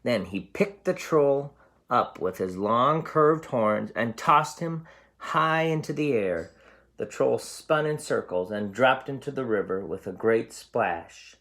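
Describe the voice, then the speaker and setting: Gravelly storyteller reading to children. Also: mid-range voice, animated, slow pace, a bit bright. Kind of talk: expressive read-aloud for young children.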